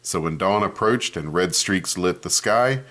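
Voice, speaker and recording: A man reading a children's picture book aloud in an expressive storytelling manner, commenting on the pages as he goes. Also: deep voice, measured pace, slight background noise.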